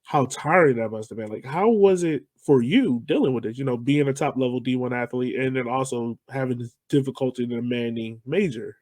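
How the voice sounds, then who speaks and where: A man's voice: medium pitch, brisk, few pauses, darkish tone, no echo. A man from Virginia with an American accent, interviewing a guest as a podcast host in a casual, conversational style. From Virginia, United States.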